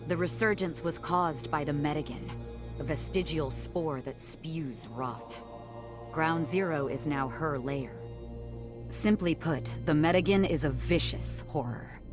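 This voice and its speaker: A low-voiced, defiant noblewoman. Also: a little slow, over music. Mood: briskly commanding. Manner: blunt, commanding, barbed. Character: defiant, sardonic noblewoman ruling a sunken city. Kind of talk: scripted video game cutscene acting.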